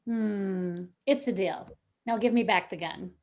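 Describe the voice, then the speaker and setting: A woman's voice: medium pitch, measured pace, a little mellow, lightly acted. A woman playing a coy, calculating, murderous young bride in a vintage-style radio play. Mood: scheming. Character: coy, greedy, murderous young bride, sly femme fatale.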